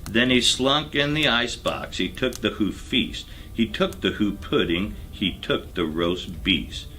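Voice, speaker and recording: Slow, low-voiced male storyteller. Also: animated, bright, forceful tone, faint music behind. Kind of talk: dramatic storybook narration in rhyme.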